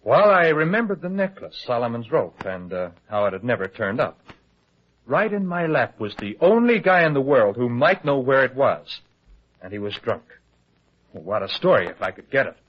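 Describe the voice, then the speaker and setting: Male newspaperman, cocky and glib, very animated pitch with a husky edge, vintage radio drama. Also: normal speed. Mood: eager, theatrical. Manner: glib, teasing, theatrical. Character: cocky, wisecracking male tabloid reporter, eager and theatrical. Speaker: newspaper reporter. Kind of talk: old-time radio detective drama acting. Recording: dull lo-fi old radio recording.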